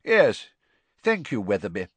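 A man's voice: on the low side, wildly swooping pitch, a little slow, dry recording, heavily acted. Man putting on a stiff, clipped elderly wizard bureaucrat, dry. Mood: brisk, formal. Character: stiff, impatient, rule-bound elderly male wizard bureaucrat.

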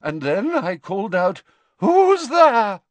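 Male reader putting on a lofty dramatic witch-seer, high wavering voice, dry studio sound. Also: very animated, slow, a little mellow, no echo. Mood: haughty mystic. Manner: theatrical, mystical, swooping high and wavering. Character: eccentric haughty middle-aged woman seer, dramatic.

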